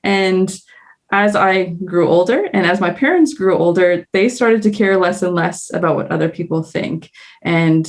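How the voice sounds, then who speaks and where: A woman's voice: fairly low pitch, moderate pace. A woman with a Canadian accent, speaking as a guest on a panel. She talks in an earnest, reflective way and thinks aloud as she goes. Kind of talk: candid panel discussion.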